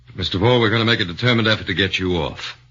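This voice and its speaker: Defense lawyer, very low, bright, measured and probing; 1940s radio. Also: male voice, breathy edge. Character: shrewd, methodical defense lawyer. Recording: muffled 1940s radio broadcast.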